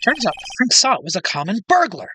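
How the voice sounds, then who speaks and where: A woman's voice: fairly high pitch, big pitch swings, fairly quick, noisy background. A woman voicing an earnest young male defense lawyer who gets exasperated, in a fan dub of a video game. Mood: earnest, reflective.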